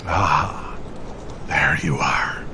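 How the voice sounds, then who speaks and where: Male actor for dying angel, solemn and gentle, gravelly, hushed delivery, noisy ambient recording. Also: a bass voice, extremely slow, voice pushed down, heavily acted. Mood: gentle, weary.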